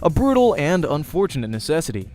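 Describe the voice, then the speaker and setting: A man's voice: fairly high pitch, sing-song, fast talker, darkish tone. A man reading a visual novel aloud as the host of a let's play, narrating in character voice and prose. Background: music.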